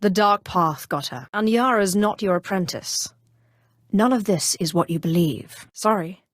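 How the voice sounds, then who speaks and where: An actress playing a cold, haughty, cunning female Sith sorceress in a video game, speaking in a composed, imperious and deliberate way. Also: mid-range voice, no echo, clean studio sound, close to an everyday voice. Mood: probing, stern. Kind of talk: scripted role-playing game dialogue.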